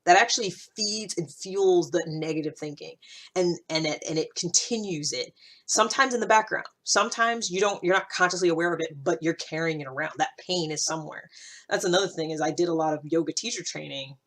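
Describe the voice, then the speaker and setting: A woman's voice: low voice, a little fast, a bit bright. A woman in her thirties hosting a vlog, talking in a casual, reflective monologue with a rambling, conversational, self-interrupting manner. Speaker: vlog host.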